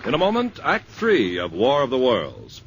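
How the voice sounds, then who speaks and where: A man's voice: medium pitch, wildly swooping pitch, normal speed, highs cut off. A male announcer giving an upbeat vintage radio ad read. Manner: smooth, upbeat announcer patter. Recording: old radio broadcast with faint hiss.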